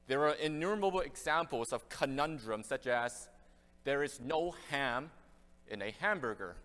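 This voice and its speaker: A man's voice: medium pitch, expressive, moderate pace, a bit bright. Man preaching in a reverberant church. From Canada. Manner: earnest, conversational preaching with frequent restarts. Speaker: pastor. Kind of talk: expository sermon.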